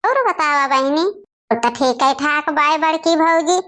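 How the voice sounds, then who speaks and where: A woman as a high-pitched, drawling village sister-in-law. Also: a little slow, a bit bright. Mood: welcoming, chatty. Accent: Awadhi. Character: bickering village women, sisters-in-law in a rural household. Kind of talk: Awadhi family comedy cartoon dialogue.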